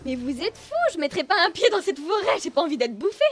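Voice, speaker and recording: A woman's voice: sits high, expressive, fast talker. A woman playing a whiny, panicky young lady. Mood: anxious. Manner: chattering, whiny, easily panicked. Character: fussy, fearful young noble lady.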